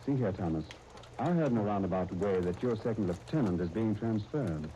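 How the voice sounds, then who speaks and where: Actor as low-voiced, lively English lieutenant. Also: male voice, brisk, close to an everyday voice. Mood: brisk, confiding. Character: genial, confident young English army lieutenant. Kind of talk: 1930s radio serial acting. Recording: very noisy 1939 radio transcription disc.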